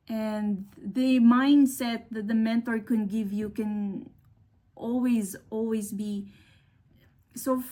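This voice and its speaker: Woman, middle-aged, from the Philippines, non-native English, earnest, slow-paced, slightly high voice, motivational talk. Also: darkish tone. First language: not English. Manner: earnest, unhurried, with fillers and restarts. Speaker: speaker. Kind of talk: motivational talk to young engineers.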